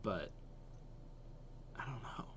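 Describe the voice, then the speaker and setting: A man's voice: takes it slowly, fairly bright tone, slight background noise. A man giving casual reaction commentary as a host, thinking aloud as he goes.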